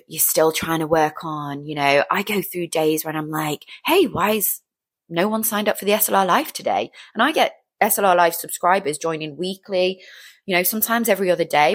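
A woman of about forty chatting casually on her own as a fitness trainer, rambling along in an upbeat, encouraging way. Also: sits low. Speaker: fitness trainer and business owner hosting a show. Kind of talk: casual solo chat, personal update.

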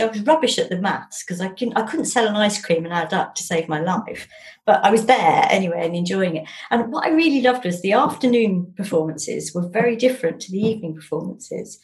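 A woman's voice: mid-range voice, animated, brisk. A middle-aged woman with an English accent, talking casually and enthusiastically as an interview guest, with emphatic turns of phrase. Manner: chatty and enthusiastic, piling on emphatic words. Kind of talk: casual, jolly conversation.

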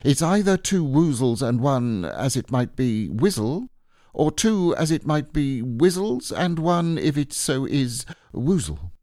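Man as a slow, earnest, puzzled storybook bear. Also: mid-range voice, big pitch swings, mellow, dry recording, pitched above the performer's own voice. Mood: pondering. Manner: ponderous, deliberate, musing. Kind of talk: children's storybook character reading.

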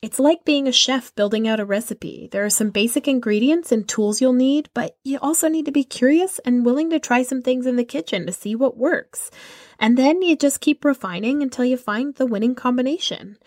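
A woman's voice: high-pitched, normal speed, a little mellow, no echo, clean studio sound. A young woman hosting a podcast, telling a personal story in an emphatic way and calling listeners "y'all". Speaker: podcast host and musician. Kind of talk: reflective podcast monologue.

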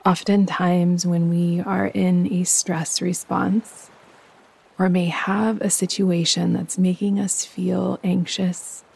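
A woman guiding a yoga nidra meditation in a soft, breathy voice, calm, soothing and unhurried. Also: fairly low pitch. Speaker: meditation guide and host.

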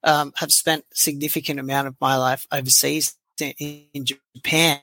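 Gravelly, bright-toned podcast host man. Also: mid-range voice, little pitch movement, moderate pace, no room sound. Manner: friendly, with frequent ums and restarts. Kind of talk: podcast interview hosting.

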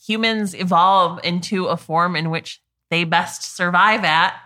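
A woman's voice: lowish voice, steady tone, unhurried, sharp tone. A woman co-hosting a casual chat, making wry, skeptical jokes. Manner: wry, skeptical joking remarks. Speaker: podcast co-host.

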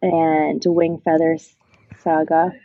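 A woman's voice: sits low, little pitch movement, slow, no room sound. Dry, slightly dull-sounding woman podcast host. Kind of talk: casual podcast conversation.

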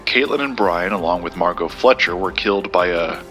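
A man playing a somber, honest author haunted by loss in an audio drama, speaking in a measured, understated way. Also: low voice, a bit bright, faint music behind.

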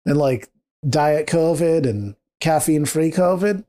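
Podcast co-host, mellow voice, rambling, joking. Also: mid-range voice, animated, takes it slowly. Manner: rambling, joking, many fillers and restarts. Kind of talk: casual book discussion.

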